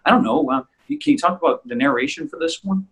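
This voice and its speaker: A man in a casual, halting discussion, speaking appreciatively as co-creator of an audio drama podcast. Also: on the high side, big pitch swings, fairly bright tone, husky. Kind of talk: casual discussion of their own work.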